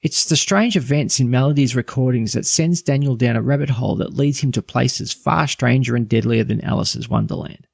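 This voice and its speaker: A man's voice: mid-range voice, a little fast, gravelly, hardly any pauses, breathy edge, no echo, studio quality. A man narrating a scripted review as a podcast host.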